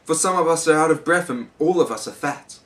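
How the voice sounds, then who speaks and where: A man's voice: a little high, fairly quick, a bit bright, far from the mic, pitched above the performer's own voice, lightly acted. A man voicing naive, fretful young oysters, pleading plaintively in a storybook poem. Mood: breathless protest.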